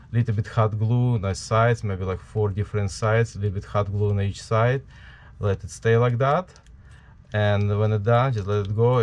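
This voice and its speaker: A man with a heavy Ukrainian accent, giving a hands-on tutorial at a workbench in a halting way, full of fillers. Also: lowish voice, darkish tone. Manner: halting, many fillers, talks while working. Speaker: prop maker.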